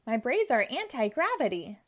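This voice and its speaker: A woman reading a children's picture book aloud, narrating in a gentle, expressive storytelling manner. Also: fairly high pitch, normal speed. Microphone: audio only, close mic.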